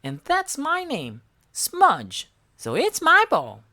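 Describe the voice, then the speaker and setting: A cheeky little storybook girl, acted by a woman, stubborn and blunt. The voice is pitched well up and bounces around as she insists. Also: wildly swooping pitch, slow pace, pushed and bright, exaggerated voice. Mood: defiant. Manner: contrary, insistent.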